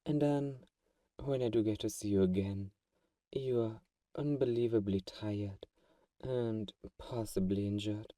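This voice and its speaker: A man playing a doting but secretly manipulative vampire friend in an ASMR roleplay, soft-spoken and fussing, with a mellow, dark tone. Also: on the low side, a little slow, no echo, clean studio sound, distant mic, lightly acted. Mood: fussing, concerned.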